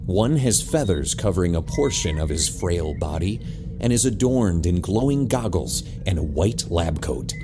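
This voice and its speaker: A man reading scripted audio drama narration as a deliberate, descriptive storyteller. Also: sits low, lively intonation, music in the background.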